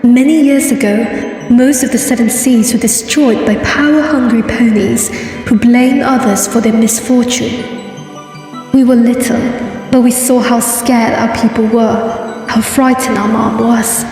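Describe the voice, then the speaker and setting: A woman's voice: a little high, fairly even intonation, a little mellow. Woman as a regal sea-pony princess, cavernous echo. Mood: solemn. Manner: formal, measured storytelling. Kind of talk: cartoon comic dub. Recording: very echoey, distant, music behind.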